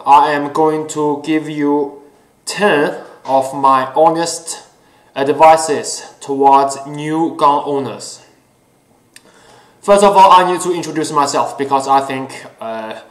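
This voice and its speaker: A man whose first language is Chinese hosts his own talk show, speaking earnestly with many pauses and fillers. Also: a little high, steady tone. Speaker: host. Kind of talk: casual solo talk show. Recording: echoey room.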